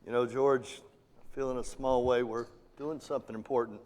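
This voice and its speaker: A man playing a gentle, weary father on a theater stage. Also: mid-range voice, fairly even intonation, moderate pace, lightly acted. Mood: fatherly. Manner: warm, earnest, fatherly. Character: kindly middle-aged father, worn but gentle. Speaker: stage actor in a live radio play. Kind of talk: 1940s radio play acting, live on stage. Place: theater stage, live audience. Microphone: standing stage mic. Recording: long hall reverb of a theater.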